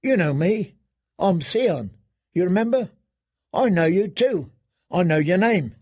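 A man voicing a haunted, maimed man in an audiobook, coaxing gently in an earnest, careful, hushed manner. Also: high-pitched, lively intonation, a little slow, breathy edge, voice pushed up, heavily acted. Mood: gentle coaxing. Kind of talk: dramatic audiobook character reading.

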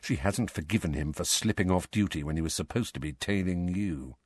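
Man acting a low, muttering brooding godfather. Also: a little fast, breathy edge, no echo. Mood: muttering, confiding. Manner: muttered undertone.

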